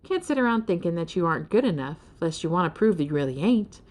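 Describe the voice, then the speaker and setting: A woman's voice: fairly low pitch, sing-song, fast talker, a little mellow. A woman voicing a hospitable, encouraging female giraffe-mouse with a gracious, folksy manner in a children's storybook.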